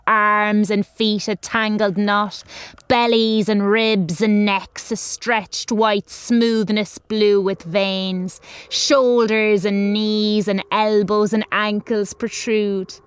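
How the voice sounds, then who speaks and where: Slow, smooth, sinister grandmother played by a woman. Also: mid-range voice, dry recording. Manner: slow, savouring, gleeful menace. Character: sinister old grandmother telling a grim horror tale. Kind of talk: scripted horror storytelling.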